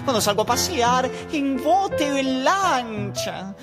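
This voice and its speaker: A man plays a fretful lady caller in a radio comedy. Her voice is high and swooping, fussy and exclamatory, over loud music. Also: big pitch swings, slow pace, heavily acted. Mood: alarmed. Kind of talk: satirical radio sketch.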